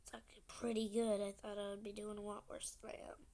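A young gamer with a stuffy, congested nose, chatting casually and often half-whispering while playing a game. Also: female voice, medium pitch, little pitch movement, fast talker, warm tone. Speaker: gaming channel host. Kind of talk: casual gameplay commentary.

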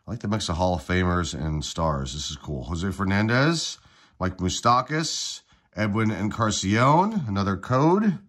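A man's voice: sits low, unhurried. A congested middle-aged man getting over a cold, casually running through trading card packs on his channel at a table indoors. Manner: relaxed, rattles off player names. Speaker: card-collecting channel host. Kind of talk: casual pack-opening commentary.

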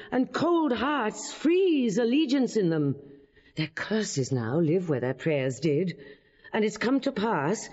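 A woman's voice: mid-range voice, darkish tone. A woman playing a dignified, earnest queen pleading boldly in classical verse for an audio drama. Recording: muffled, long hall reverb.

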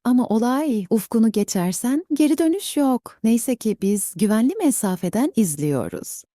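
A woman's voice: fairly high pitch, lively intonation, normal speed, dark tone, dry recording, clean studio sound, pitched above the performer's own voice, close to an everyday voice. A woman voicing a friendly, cute robot guide who patiently explains things to a child. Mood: explaining.

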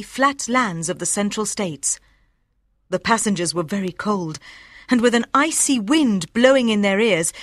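A woman reading a scripted storybook narration in a clearly enunciated, expressive way. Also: mid-range voice, no echo, studio quality. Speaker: audiobook narrator.

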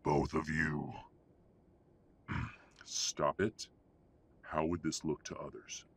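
A man playing a haughty, middle-aged werewolf patriarch in an audio drama, speaking in a formal, measured, condescending way. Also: rumbling low, level tone, slight background noise, exaggerated voice. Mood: stern, menacing. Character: haughty, domineering old-money werewolf patriarch father. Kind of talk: audio roleplay drama acting.

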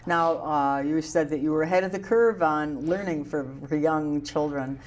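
An older woman hosting a studio interview, talking slowly with a low voice and halting restarts, on a lapel mic. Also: a little hiss or hum. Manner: slow, repeats words, halting restarts. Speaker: talk show host. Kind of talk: casual interview chat.

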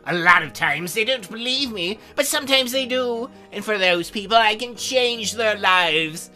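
A man voicing a lonely, prim young female demon imp in a visual novel game, earnest and halting. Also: sits high, animated, bright, forceful tone, soft background music, heavily acted. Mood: coaxing.

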